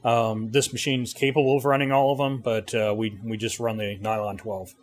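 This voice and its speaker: Middle-aged man, business owner explaining his machine on location; plain, halting delivery, noisy room. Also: medium pitch, flat delivery. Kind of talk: informal technical explanation, interview answers. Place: indoor 3D printing workshop. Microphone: handheld interview mic held by interviewer.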